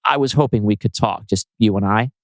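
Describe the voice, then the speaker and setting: A man's voice: on the low side, fairly quick, dry recording, close to an everyday voice. A man voicing a weary, pushy but doting grandmother in her sixties in a script reading. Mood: polite, coaxing. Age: 60s.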